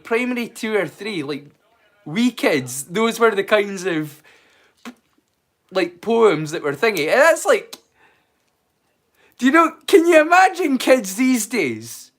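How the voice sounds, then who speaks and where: Scottish guy with a high voice rambling on a live stream, says like a lot, swears casually. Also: a bit bright. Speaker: storyteller streamer.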